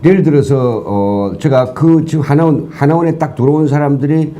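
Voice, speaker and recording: A man with a somewhat high pitch for a man speaks as a talk show guest. His voice is mellow. He explains points earnestly, in a lecturing way. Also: normal speed. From North Korea. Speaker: guest, former professor.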